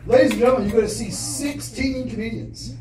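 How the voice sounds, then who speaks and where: A woman hosting a comedy competition, giving a showy, drawn-out stage announcement. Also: fairly high pitch, recorded from a distance. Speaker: competition host. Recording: echoey room from afar with music.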